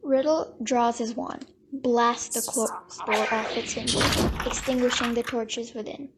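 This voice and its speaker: A woman's voice: fairly high pitch, steady tone, a little slow, slight background noise. A woman narrating an audio drama from a script in a dramatic storytelling style.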